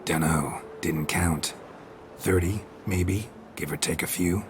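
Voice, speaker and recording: A man's voice: low voice, slow, noisy background. A man playing a stoic, world-weary monster hunter in a video game cutscene, speaking in terse, dry lines. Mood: dry, skeptical. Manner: terse, deadpan.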